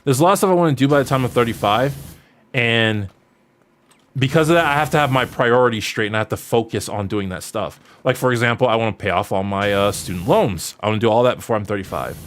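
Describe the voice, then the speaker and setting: A man's voice: mid-range voice, fast talker. A man in his early thirties chatting casually as a livestreamer answering questions, in a rambling, jokey, self-deprecating way. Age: early 30s. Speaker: streamer answering viewer questions. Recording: noticeable background noise.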